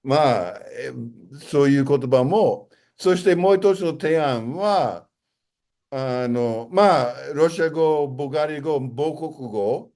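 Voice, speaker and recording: A man's voice: on the high side, animated, normal speed, a little mellow. A talkative man asking questions and explaining things in a casual multilingual conversation.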